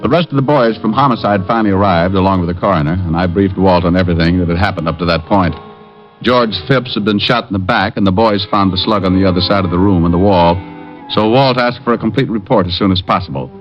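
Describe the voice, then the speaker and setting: An actor playing a wisecracking private detective who narrates and trades dry, sardonic gags, in 1950s radio drama style. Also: male voice, low-pitched, fast, lightly acted. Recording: lo-fi old radio broadcast with faint music.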